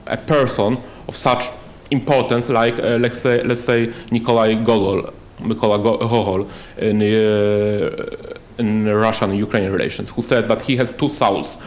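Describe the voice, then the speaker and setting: A man on an academic panel, speaking haltingly with many fillers as he searches for words. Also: on the low side.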